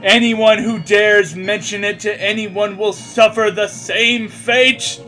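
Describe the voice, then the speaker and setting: Man playing a melodramatic, indignant high-voiced schoolgirl heroine. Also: steady tone, slow pace, sharp tone, faint music behind, exaggerated voice, low-quality recording. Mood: indignant, threatening. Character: melodramatic, vengeful teenage schoolgirl heroine of fan fiction.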